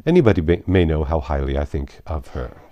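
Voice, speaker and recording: Man playing composed country gentleman, deep voice, earnest, brusque, formal, emphatic, animated intonation, classic audiobook. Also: fairly quick, no room sound, muffled recording. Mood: brusque, flustered.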